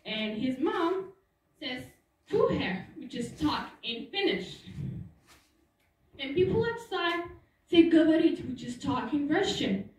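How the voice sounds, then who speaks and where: A teenage girl gives an earnest school talk, explaining things step by step. Her voice sits high, and the sound rings heavily around a large hall. She is picked up from some distance, off the microphone. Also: lively intonation, takes it slowly, long pauses, darkish tone. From Turkey. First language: Turkish. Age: teens. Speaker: student speaker. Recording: echoey hall, voice from far off.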